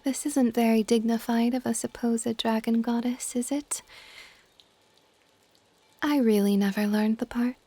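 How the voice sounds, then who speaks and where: Woman voice actor as possessive dragon goddess, affectionate, teasing, soft whispering, highish pitch, dark gentle tone, intimate ASMR roleplay. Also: dry recording. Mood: sheepish, fond. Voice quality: soft, breathy whispering mixed with low-volume speech. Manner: doting, teasing, unhurried.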